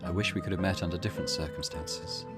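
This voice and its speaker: A man's voice: low-pitched, quick pace, loud music behind the voice, lightly acted. A man voicing a brooding, world-weary visual novel character, resigned and subdued. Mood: melancholy.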